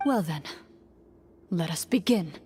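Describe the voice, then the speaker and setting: A woman voicing a composed game master who narrates an adventure in a measured, formal, solemn way, as in a video game, with music underneath. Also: lowish voice, animated, darkish tone, a little hiss or hum.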